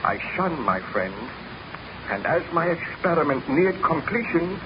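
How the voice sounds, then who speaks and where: An actor playing an exhausted, obsessed young baron scientist with a grave, theatrical, formal delivery in 1930s radio drama style. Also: male voice, a little high, sing-song, a little slow. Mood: brooding, confessional. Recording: noisy, muffled vintage 1930s radio recording.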